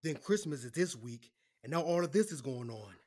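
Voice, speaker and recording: A man's voice: mid-range voice, animated, normal speed, darkish tone, natural voice. An actor playing a defensive, placating male lawyer who has betrayed his client, in an audio drama. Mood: sheepish, placating.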